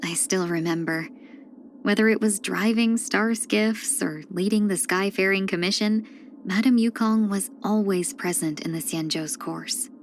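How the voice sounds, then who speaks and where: A woman voicing a video game character, a gentle, wistful fox-woman who speaks softly and politely in measured, reflective lines. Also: medium pitch, a little hiss or hum, natural voice. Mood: fond, nostalgic. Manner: soft-spoken, measured, reflective, drifting into breathy near-whispers; polite and refined.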